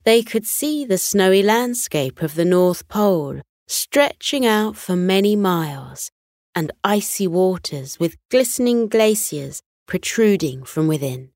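A woman narrating a scripted bedtime story for children in a gentle, measured, soothing way. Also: medium pitch, animated, no room sound, studio quality.

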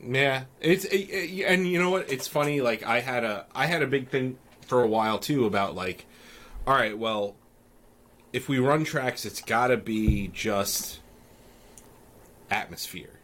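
A man's voice: mid-range voice, measured pace, fairly bright tone. A man co-hosting a podcast, chatting casually and rambling through long questions with many restarts. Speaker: podcast co-host.

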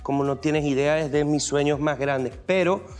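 Young man, reflective and hesitant, fairly high voice, soft music behind. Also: steady tone, moderate pace, clear, resonant tone. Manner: thoughtful, hesitant, many ums. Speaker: son, guest. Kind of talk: family podcast conversation.

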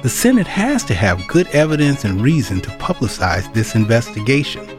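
A man's voice: mid-range voice, big pitch swings, normal speed, over music. A man narrating an audio drama as a storyteller with wry asides.

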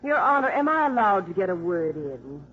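An actress playing an earnest, conscientious probation officer with careful delivery in 1950s radio drama style. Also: female voice, on the low side, very animated, normal speed, a little hiss or hum. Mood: defensive. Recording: muffled 1950s radio recording.

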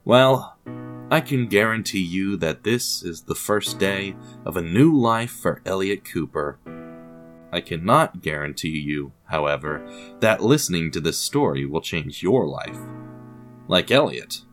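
A man narrating a scripted audio drama in a measured, theatrical storytelling manner with deliberate pauses. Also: low voice, animated, a bit bright, soft background music.

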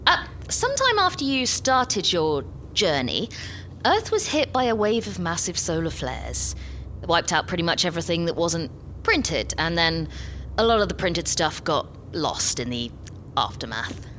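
A woman playing a brisk, scheming but tactful female spaceship captain in a live sci-fi comedy audio drama. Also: lowish voice, sing-song, fairly bright tone. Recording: live stage recording with faint noise.

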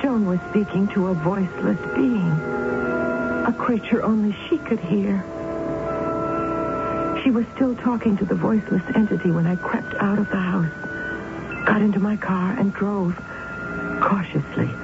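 An actress playing an anxious woman who narrates her own tense story in an old radio drama, hushed and brooding. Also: medium pitch, unhurried, creaky, long pauses. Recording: tinny 1970s radio broadcast with loud music.

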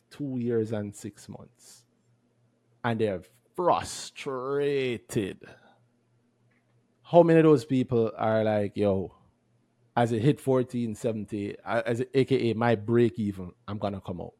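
A man co-hosting a podcast, talking about stocks in a lively, playful, self-mocking way. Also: on the low side, measured pace, no room sound, studio quality. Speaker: podcast co-host. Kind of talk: casual chat about stocks.

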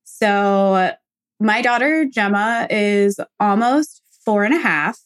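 An American woman co-hosts a casual podcast in a voice of average pitch. Her talk is informal and chatty, peppered with "like" and phrased as friendly conversation. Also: lively intonation, slow. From United States. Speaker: podcast co-host. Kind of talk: casual chat.

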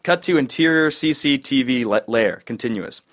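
A man's voice: medium pitch, animated, normal speed, a bit bright. A man narrating scene directions from a script at a comedy table read.

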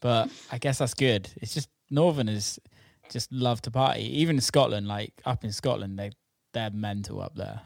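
A man's voice: on the low side, moderate pace, gravelly, hardly any pauses, no room sound. Informal jokey man, dry close sound. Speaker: interviewer. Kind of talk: casual chat.

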